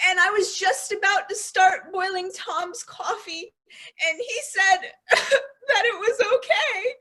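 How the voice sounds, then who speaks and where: A woman playing a distraught, embarrassed young housewife in an old-style radio commercial skit, with halting, whiny, sobbing delivery. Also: extremely high pitch, pushed and bright, heavily acted.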